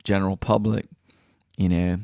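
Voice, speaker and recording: A man's voice: low voice, little pitch movement, moderate pace, creaky, dark tone, no room sound, studio quality. A man hosting a podcast, asking leading questions in a chatty way and laughing easily. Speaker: podcast host. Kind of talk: casual chat.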